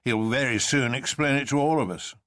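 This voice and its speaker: A man voicing a cynical smoker who speaks in a slow, cold drawl in a story. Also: mid-range voice, fairly bright tone, dry recording, voice pushed up, lightly acted.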